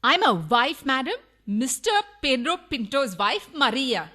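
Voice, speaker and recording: A woman's voice: high voice, sing-song, measured pace, bright, forceful tone, heavily acted. A woman playing a burglar's jealous, fiery wife in a farcical radio play, melodramatic and challenging. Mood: affronted.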